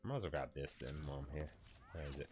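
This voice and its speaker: A man's voice: extremely low pitch, animated, fast, noisy background. A man with a stuffy, cold-congested voice, giving rambling, casual commentary while streaming a video game and often swearing.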